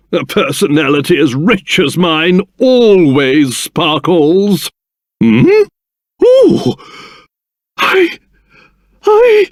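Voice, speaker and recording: A man voicing a pompous, fussy, excitable little old king in a video game, speaking theatrically with old-fashioned flair. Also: sits high, no echo, clean studio sound, heavily acted. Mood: genial, self-satisfied, then jubilant. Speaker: voice actor.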